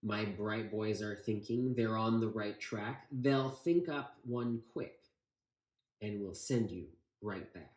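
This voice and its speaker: A man's voice: lowish voice, slow, darkish tone, reverberant, rough recording. A man voicing a confident, fatherly military chief in a storybook, with a breezy, encouraging manner. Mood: breezy assurance. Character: confident, jovial old-fashioned military chief, fatherly and eager.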